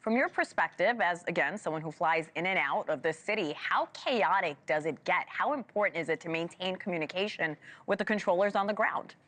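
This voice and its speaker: Woman news anchor, kinda low voice, polished direct interview questions. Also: expressive, brisk, hardly any pauses, fairly bright tone.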